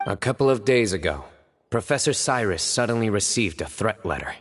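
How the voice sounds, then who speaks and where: Game role: stern young male detective, low-pitched, measured, formal, deadpan, reverberant sound. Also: faint music behind. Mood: composed. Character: serious, composed young male investigator, former student of scholar. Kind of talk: video game voice acting.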